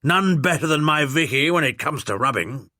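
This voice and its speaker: A blustering old aristocrat who bellows orders and bristles at anyone crossing him, played by a man narrating a storybook. His voice is pitched well up and carries a lively swing, and the recording sounds close and dry. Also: moderate pace, dry recording. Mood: boastful. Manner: imperious, indignant, commanding. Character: blustering, bullying old English lord.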